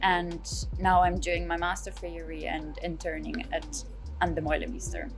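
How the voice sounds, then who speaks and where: A woman's voice: fairly low pitch, normal speed, gravelly. A woman in her twenties from Austria, a fashion graduate giving chatty interview answers full of "like". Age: 20s. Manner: chatty, many "like" fillers. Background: music.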